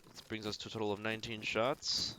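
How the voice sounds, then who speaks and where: A man's voice: fairly low pitch, completely flat delivery, fast, sharp tone, a little hiss or hum. A man giving casual video game commentary as a streamer, thinking aloud in short, slangy remarks.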